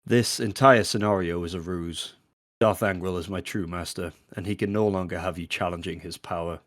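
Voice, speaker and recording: A man playing a controlled, treacherous Sith officer in an audio drama, with formal, measured and assertive speech. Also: sits low, fairly even intonation, fairly bright tone, no room sound, clean studio sound. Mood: cold, formal. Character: controlled, formal male Sith officer, lover turned betrayer.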